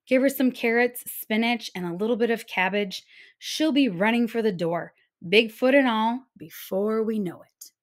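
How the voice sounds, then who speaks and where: A woman's voice: fairly high pitch, normal speed, natural voice. A woman voicing a weary, scheming father hare in a children's storybook, with an exasperated manner. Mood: sly. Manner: muttering, exasperated.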